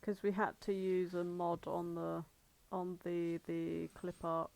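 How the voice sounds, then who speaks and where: A woman's voice: lowish voice, flat delivery, takes it slowly, vocal fry, darkish tone, no echo. Wry woman bantering, dry close sound. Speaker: podcast co-host. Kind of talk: casual banter.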